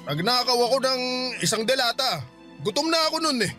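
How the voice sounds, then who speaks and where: A man's voice: very high voice, big pitch swings, a little fast, pushed and bright, soft background music, heavily acted. An actor playing a hot-tempered, self-pitying and bullying Filipino father in a Tagalog radio drama. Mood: defensive, bitter.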